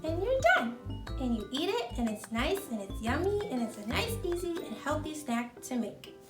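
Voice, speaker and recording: A high-voiced woman hosts an activity for young children, heard from a little way off in an echoing room. She speaks in simple, encouraging phrases with a very lively, swooping melody. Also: moderate pace. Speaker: children's activity presenter and read-aloud host. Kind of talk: instructional talk and read-aloud for young children. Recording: roomy, distant mic, music behind.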